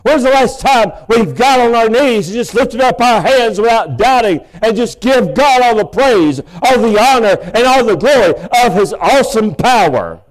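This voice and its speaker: A man, preaching a sermon from a church pulpit microphone in a big, loud voice with folksy asides. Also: very high-pitched, lively intonation, normal speed, few pauses, poor audio. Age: 50s. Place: church sanctuary.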